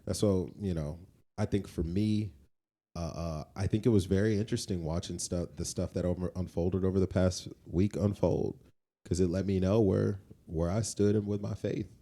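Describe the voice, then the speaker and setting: A man's voice: deep voice, steady tone, moderate pace, dark tone. A man co-hosting a casual podcast, affirming and repeating phrases for emphasis. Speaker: podcast co-host. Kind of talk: casual conversational podcast discussion.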